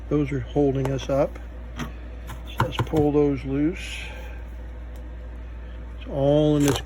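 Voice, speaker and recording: A man giving unscripted hands-on repair commentary, halting and thinking aloud as he works. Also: on the high side, mellow. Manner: halting, muttering thoughts aloud while working. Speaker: vintage electronics repair video host. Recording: faint background noise.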